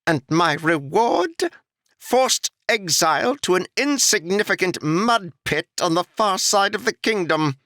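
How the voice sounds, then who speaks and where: A proud, irritated nobleman with a strange foreign lilt sneers and snaps at the locals. The voice sits well above the reader's own, with wide swoops of pitch. It sounds dry and close, with no room around it. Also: expressive, unhurried, bright, forceful tone, dry recording, exaggerated voice. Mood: annoyed. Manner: sneering, snapping, complaining. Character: haughty exiled male noble, scornful and annoyed.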